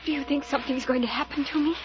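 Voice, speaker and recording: An actress playing a timid, anxious, gentle young woman in an old-time radio mystery, hesitant and pleading. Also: high-pitched, steady tone, very fast, natural voice. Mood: frightened. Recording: dull, noisy vintage radio recording.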